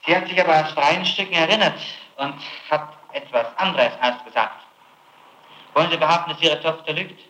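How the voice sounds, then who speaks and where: A man's voice: a little high, moderate pace, bright, forceful tone, echoey room, a little hiss or hum, rough recording. A man conducting a formal interrogation as prosecutor, confronting and insistent, on an old archival tape. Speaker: prosecutor questioning a suspect. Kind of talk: formal interrogation, reading out statements.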